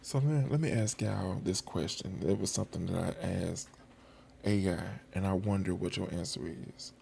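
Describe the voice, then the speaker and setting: A man's voice: low voice, moderate pace, vocal fry, dark tone. A man giving casual commentary straight to viewers in an informal, colloquial, conversational way. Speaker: host of a boxing footage channel. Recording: faint background noise.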